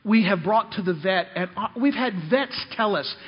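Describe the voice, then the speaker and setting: A man's voice: high-pitched, normal speed, breathy edge. A male preacher and missionary giving a sermon, telling humorous personal stories and calling out to the congregation. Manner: storytelling, calls out to the congregation. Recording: dull lo-fi recording in a reverberant hall.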